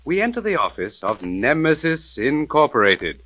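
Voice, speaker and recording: Animated 1930s radio mystery narrator. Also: male voice, on the high side, moderate pace, noisy background. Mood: suspenseful, formal. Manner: formal, suspenseful storytelling. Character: dramatic male narrator of mystery radio serial.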